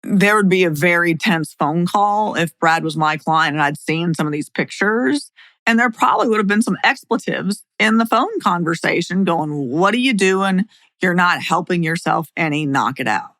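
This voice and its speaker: A woman's voice: lowish voice, animated, normal speed, fairly bright tone, no room sound. A middle-aged woman speaking as a podcast host and attorney, in a blunt, plain-spoken way. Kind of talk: scripted narration and opinionated podcast talk.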